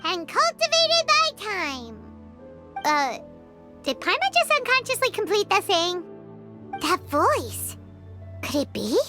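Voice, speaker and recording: A piercingly high, bright fairy sidekick in a video game. It is tiny, squeaky and cheeky, scolding bluntly like a small child. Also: female voice, wildly swooping pitch, takes it slowly, pauses often, soft background music, exaggerated voice. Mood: surprised.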